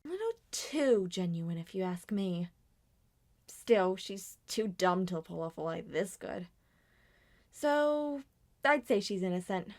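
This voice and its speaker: A woman's voice: mid-range voice, animated, takes it slowly, darkish tone. A woman voicing an edgy, suspicious young female robot detective in an amateur roleplay drama, with a blunt, sardonic manner. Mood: skeptical, blunt.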